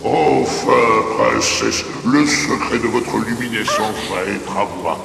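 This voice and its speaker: Very gravelly, deep insect villain lord. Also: male voice, takes it slowly, a loud crowd, heavily acted. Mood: menacing. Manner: commanding, menacing, grandiose. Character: diabolical insect lord, male, menacing and power-hungry. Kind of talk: theatrical cartoon villain acting. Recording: echoey hall-like reverb.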